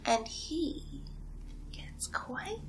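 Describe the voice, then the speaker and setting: A woman playing a playful, lonely, possessive young villainess kidnapper in an ASMR roleplay, murmuring coyly and slipping into breathy whispers. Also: high-pitched, sing-song, extremely slow, exaggerated voice, low-quality recording. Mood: coaxing, possessive. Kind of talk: ASMR roleplay, soft talk and whispering to the listener.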